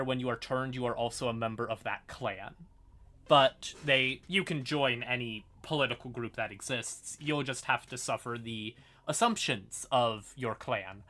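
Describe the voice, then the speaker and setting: Man, very bright forceful voice, mid pitch, casual lore explainer, informal and sweary, a little tired, streamer. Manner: informal, sweary, apologetic asides. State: tired, yawning. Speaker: livestream host. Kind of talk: casual explainer livestream on fantasy game lore.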